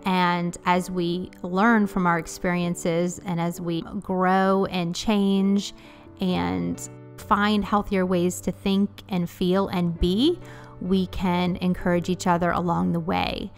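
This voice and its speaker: Gentle, earnest woman with a low voice. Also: little pitch movement, slow pace, soft background music. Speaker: cleaning vlogger. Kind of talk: voice-over over cleaning footage.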